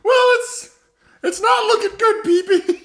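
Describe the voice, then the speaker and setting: A man's voice: extremely high pitch, measured pace, a bit bright. A man voicing a forgetful elderly grandmother in an improvised comic radio mystery, rambling, defensive and melodramatic. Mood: rambling, flustered. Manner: rambling, self-justifying, melodramatic.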